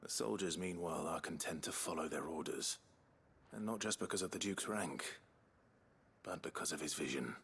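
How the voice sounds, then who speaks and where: Very deep-voiced, measured fantasy hero. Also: male voice, a bit bright, slightly rough, full character voice. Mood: grave, measured. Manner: formal, measured, understated. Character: grave, measured fantasy hero, a man helping townsfolk. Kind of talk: scripted game dialogue.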